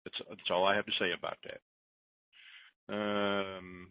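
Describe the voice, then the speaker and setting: A man's voice: deep voice, completely flat delivery, measured pace, clear, resonant tone, dry recording. A man hosting a conference call, reading listener questions aloud and answering in a rambling, self-deprecating, joking way. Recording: tinny phone line.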